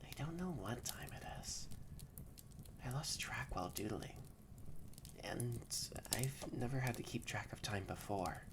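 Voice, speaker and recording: A haughty tsundere catboy acted out by a young performer in an intimate audio roleplay, pompous one moment and shyly hesitant the next. He talks slowly with very lively pitch, often dropping to a breathy murmur, over clear background noise. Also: lightly acted. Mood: drowsy, soft. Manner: pompous, flustered, hesitant stammering, often drops to breathy near-whispers. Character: haughty tsundere catboy, grumpy yet soft and shy.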